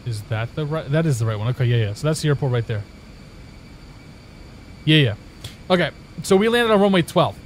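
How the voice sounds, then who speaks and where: Here is a young guy from Indiana, streaming live and chatting loosely with his viewers. His voice sits a little higher than most men's, and he tells stories in a rambling way, doubling back and restarting his sentences. There is a faint hiss of noise under the voice. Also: expressive, fairly quick, pauses often. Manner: rambling storytelling with many restarts. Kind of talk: casual chat with viewers.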